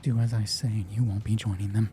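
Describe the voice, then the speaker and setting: A man's voice: lowish voice, flat delivery, quick pace, loud music behind the voice, pitched below the performer's own voice, lightly acted. A man voicing a tough female special forces soldier in a tabletop roleplay, curt, commanding and threatening. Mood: commanding, impatient. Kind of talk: acted dialogue in tabletop roleplay.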